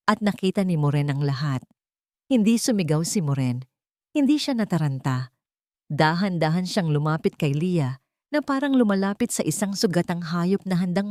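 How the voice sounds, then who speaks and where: A woman narrates a dramatic audiobook story from a script. Her voice sits on the low side and moves with lively intonation, and the recording is dry and close. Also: dry recording.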